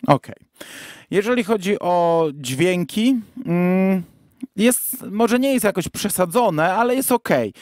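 A man's voice: high-pitched, expressive, slow, a little mellow, dry recording, clean studio sound. A man co-hosting a podcast in a casual review discussion, hesitant and often correcting himself as he goes. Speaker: podcast co-host. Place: virtual studio, remote recording.

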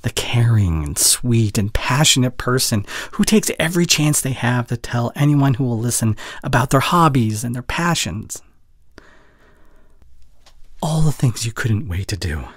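Breathy, caring young boyfriend, acted by a man. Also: mid-range voice, expressive, dry recording, clean studio sound. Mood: tender, earnest. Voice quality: breathy, often dropping to near whisper. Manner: halting, earnest, pausing mid-sentence. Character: caring, heartbroken young boyfriend comforting his girlfriend. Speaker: boyfriend in audio roleplay. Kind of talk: intimate audio roleplay acting. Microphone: close studio condenser mic.

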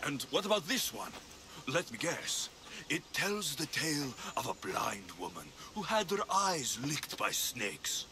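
An actor playing a bold, blunt ancient Greek mercenary in a video game, pressing others with direct questions. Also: male voice, fairly high pitch, very animated, measured pace, noisy background. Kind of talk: video game drama acting.

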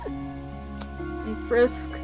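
A woman voicing a weary, emotional male skeleton who is gentle and protective, speaking haltingly with stammers. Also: over music. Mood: tender, relieved. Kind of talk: amateur comic dub acting.

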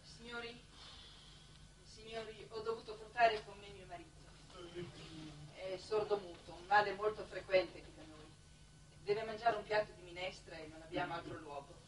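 An actress playing a matter-of-fact young nurse who is envoy of a colony, in 1960s Italian television drama style. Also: female voice, mid-range voice, fairly even intonation, normal speed, close to an everyday voice. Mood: polite, brisk. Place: television studio stage. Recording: noisy old 1960s television soundtrack.

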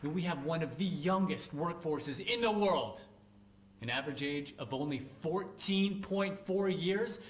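An actor playing a smug, grandiose young tech founder giving a satirical game keynote, pompous, with emphatic pauses between phrases. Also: male voice, fairly high pitch, expressive. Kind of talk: satirical product launch keynote, game cutscene. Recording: echoey hall with crowd noise.

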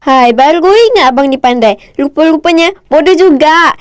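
A woman's voice: high-pitched, expressive, normal speed, no echo, clean studio sound. A woman voicing an inquisitive, cheeky little child in a cartoon, pert and questioning, with a Singaporean Malay accent. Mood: mocking. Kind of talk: cartoon voice acting.

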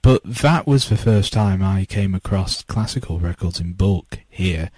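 A man reminiscing in a relaxed, chatty way during an interview. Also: low voice, unhurried, slightly rough, no echo. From North-East England. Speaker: interviewed record collector. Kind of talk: casual reminiscing chat.

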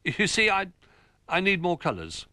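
A man's voice: sits high, wildly swooping pitch, moderate pace, sharp tone, dry recording, voice pushed up, heavily acted. A man voicing a small, young, earnest toy bear in a storybook, childlike and hesitant. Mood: flustered.

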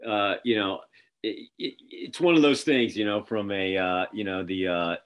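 A man's voice: fairly low pitch, normal speed, muffled recording. A middle-aged man hosting a casual video-call interview, telling stories with many fillers and restarts. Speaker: interview host. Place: office with printed backdrop, indoors. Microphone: video call webcam.

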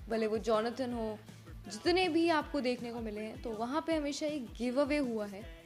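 A woman with an Indian accent hosting a gaming channel, giving casual commentary over background music. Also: on the high side. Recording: music under the voice.

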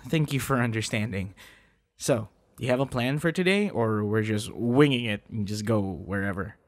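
A man playing a withdrawn, tender young man in an intimate boyfriend roleplay, speaking softly and haltingly. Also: lowish voice, close to an everyday voice. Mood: warm, upbeat. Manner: hesitant, halting, soft-spoken. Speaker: audio roleplay performer.

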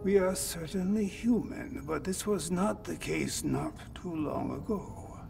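A solemn android elder from a video game, with a gravelly, unhurried voice. He speaks formally and cryptically, with music playing behind him. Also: a little high, far from the mic. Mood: solemn, cryptic. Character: solemn old android leader revealing ancient truths. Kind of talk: cinematic video game dialogue.

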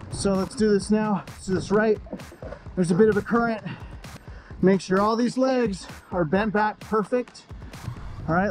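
A man in his forties talking casually to viewers as host of an outdoor fishing vlog, repeating himself for emphasis. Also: high voice. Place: outdoors on an open beach and in a kayak at sea.

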